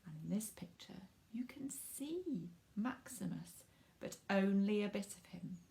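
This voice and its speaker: A woman reading a picture book aloud to children in an expressive way, stressing words for drama and speaking directly to young listeners. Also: medium pitch, creaky. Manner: animated, dramatic emphasis with drawn-out words and hushed asides.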